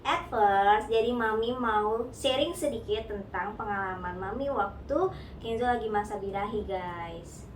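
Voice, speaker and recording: A woman's voice: medium pitch, a little slow, heavy background noise. A young woman chatting casually to viewers, sharing tips about caring for her cat, mixing in English words and often calling the audience guys. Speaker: cat owner sharing pet care tips. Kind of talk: casual vlog talk to viewers. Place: home studio. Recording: echoey room, heard from a distance, music behind.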